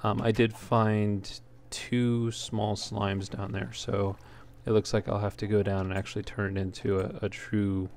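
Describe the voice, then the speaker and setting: A man giving casual gameplay commentary in a halting way, as if thinking aloud while he plays. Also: on the low side, little pitch movement, a little mellow. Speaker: let's player, host. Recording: clear background noise.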